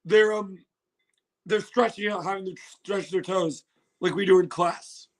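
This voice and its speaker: Guy with a high, hoarse voice reacting to a trailer on a phone stream, excitable, cursing. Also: unhurried. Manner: excitable, laughs often, swears. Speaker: livestream host. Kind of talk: casual livestream trailer reaction.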